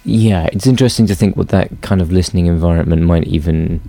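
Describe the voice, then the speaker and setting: A man musing reflectively and hesitantly, often pausing to search for words. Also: sits low, gravelly, mellow, no room sound. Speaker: interviewee.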